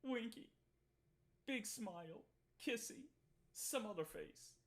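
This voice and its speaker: A woman informally reading a chat log out loud, play-acting the lines with mock sweetness. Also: on the high side, lively intonation, slow. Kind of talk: informal read-aloud of a chat log with asides.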